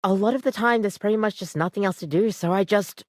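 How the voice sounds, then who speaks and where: A woman's voice: mid-range voice, quick pace, no room sound, clean studio sound, close to an everyday voice. A woman voicing an overworked, apologetic barista in a video game, chatty, rambling and self-deprecating, with a weary devotion to the job. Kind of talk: video game character acting, conversational.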